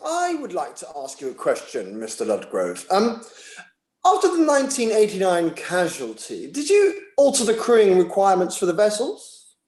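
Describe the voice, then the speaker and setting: A woman playing a firm, brisk chair of an inquiry, speaking formally in a play table read in an echoey room. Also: mid-range voice, wildly swooping pitch, a little mellow.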